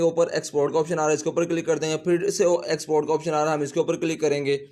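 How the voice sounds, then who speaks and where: Male tutorial host, a little higher in pitch, casual walk-through delivery with repetitive instructional phrasing. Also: darkish tone. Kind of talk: casual step-by-step app tutorial.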